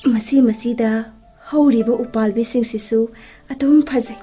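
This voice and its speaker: A fairly high female voice from an actress plays a proud young sweetheart. She talks very fast, sulky and teasing yet tender, as in a romantic radio play, over soft music. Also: steady tone, close to an everyday voice. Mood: admiring, tender.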